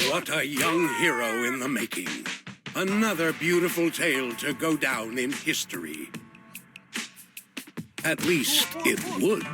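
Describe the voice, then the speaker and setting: A man playing a grandiose, theatrical storyteller who narrates a martial arts legend in a video game. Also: mid-range voice. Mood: rousing, wry. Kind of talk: dramatic scripted narration.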